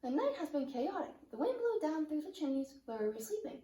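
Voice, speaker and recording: A woman performs a scripted drama for a puppet show. Her voice is high and carries a clear room echo. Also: very animated, a little fast, warm tone. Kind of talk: dramatic reading of a modernised play. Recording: roomy, echoing room sound.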